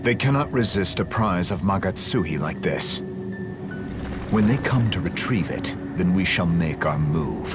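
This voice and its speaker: Male, very low register, as a cold, pragmatic game hunter, stern and formal, loud music underneath. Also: lively intonation, measured pace. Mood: stern, resolute. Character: grim, coldly pragmatic male demon hunter. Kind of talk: dramatic video game voice acting.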